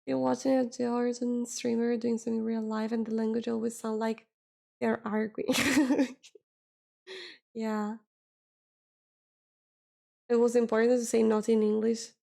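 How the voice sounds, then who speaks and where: Slow, hesitant woman streamer. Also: on the high side, little pitch movement, vocal fry, dark tone. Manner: hesitant, searching for words, self-correcting. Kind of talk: casual chat with chat readers.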